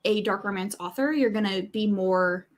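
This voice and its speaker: A woman's voice: mid-range voice, moderate pace. A woman co-hosting a livestream, chatting casually about books. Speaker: book club livestream co-host. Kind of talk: casual book discussion.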